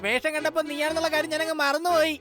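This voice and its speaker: Lady playing a goofy innocent cartoon kitty boy, high and quick. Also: little pitch movement, natural voice. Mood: puzzled. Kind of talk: animated cartoon dubbing. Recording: loud cartoon music behind.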